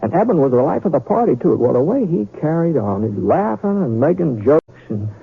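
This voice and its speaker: Folksy western storyteller on tinny radio. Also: male voice, fairly high pitch, big pitch swings, gravelly, husky, exaggerated voice. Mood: folksy, wry. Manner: folksy, conversational, halting. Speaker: narrator. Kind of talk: old-time radio storytelling. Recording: tinny radio sound with crowd noise.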